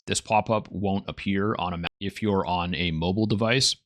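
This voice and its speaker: A man hosting a software review, talking through it in a casual, matter-of-fact explanatory way. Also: deep voice, moderate pace. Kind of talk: casual tutorial narration.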